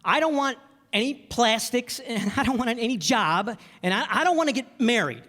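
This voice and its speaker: An actor playing a restless, excitable young man who stammers and repeats himself, in a live old-time radio play. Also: very high voice, moderate pace, exaggerated voice. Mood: agitated. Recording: echoey hall, faint music behind.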